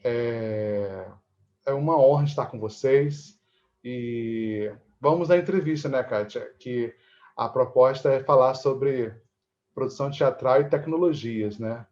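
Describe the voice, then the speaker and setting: A man with a Brazilian Portuguese accent speaks as an interview guest in a conversational, halting way, often adding tag questions. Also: medium pitch, highs cut off. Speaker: interview guest, theatre teacher. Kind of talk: conversational self-introduction. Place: indoors, room with cupboard and door.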